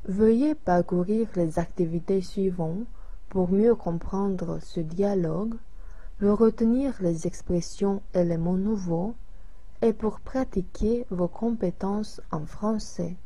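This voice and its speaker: A woman reads out lesson instructions and exercise questions at a very slow, deliberate pace. Her voice is mellow and soft with a breathy quality, and she delivers each line evenly. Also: mid-range voice. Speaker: lesson narrator. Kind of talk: reading a French lesson and exercises.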